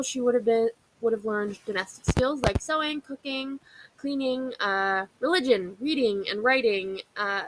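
A woman's voice: a little high, background noise. A young woman hosting a history podcast, telling the story in a casual, chatty way, full of fillers and wry asides.

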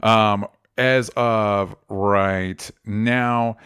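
A man's voice: fairly low pitch, steady tone, a bit bright, smooth. Opinionated, sweary man co-hosting a podcast. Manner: opinionated, sweary, halting delivery. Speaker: podcast co-host. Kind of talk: casual film discussion.

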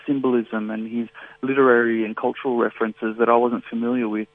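Male translator, Farsi first language, phone-line guest, flat and hesitant delivery. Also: medium pitch, no room sound. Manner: thoughtful, many fillers and restarts. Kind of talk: radio interview guest.

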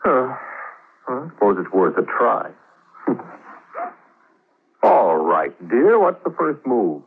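A wry, bitter husband in a classic radio drama. He speaks with sardonic edge and very mobile pitch. The old broadcast recording is narrow and clearly noisy. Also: big pitch swings, takes it slowly.

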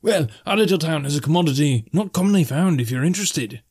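A man's voice: fairly high pitch, animated, fast, a little mellow, slightly rough, voice pushed up. A man voicing a friendly, stout northern bartender in a fantasy audiobook, with a jovial, hospitable manner. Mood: proud. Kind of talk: fantasy audiobook character dialogue.

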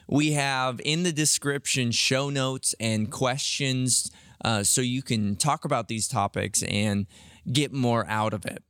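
A man hosting a podcast, reading an upbeat, deliberate intro. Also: medium pitch, a bit bright, clear, resonant tone, dry recording, clean studio sound. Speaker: podcast host. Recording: faint music behind.

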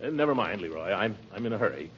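Self-important middle-aged American man, blustery, very animated pitch, 1940s radio comedy, noisy vintage recording. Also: dull audio. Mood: blustering, impatient. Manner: blustering, drawn-out, stammering when flustered. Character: pompous, blustering, easily rattled middle-aged uncle.

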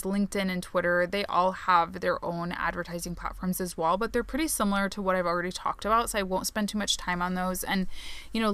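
A woman's voice: lowish voice, fairly bright tone, smooth, no echo. A woman hosting a solo podcast in a chatty, upbeat way, with fillers, and her voice sounding tired and strained. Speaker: podcast host. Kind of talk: solo podcast monologue on advertising.

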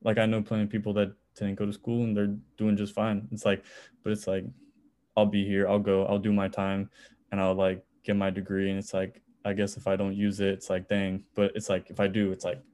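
A young man in college, a music producer, talking as a podcast guest in a relaxed, rambling way. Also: lowish voice, brisk. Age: young adult. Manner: relaxed, rambling, many "it's like" fillers. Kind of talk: casual interview chat.

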